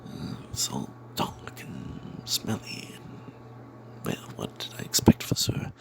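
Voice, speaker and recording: A man voicing a roguish, money-minded cleric who tells a fantasy tale in breathy, confiding whispers with snide asides. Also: takes it slowly, a little mellow, natural voice. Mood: sly, wary. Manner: confiding, hesitant, sardonic asides. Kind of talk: first-person fantasy tale told in whispers, ASMR.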